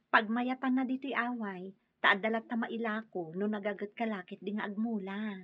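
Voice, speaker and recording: An actress playing a dutiful, gentle young farm daughter in a radio drama, polite, with a husky voice. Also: female voice, mid-range voice, fairly even intonation, moderate pace, no echo, dull audio, close to an everyday voice. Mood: earnest. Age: young adult. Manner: polite, respectful.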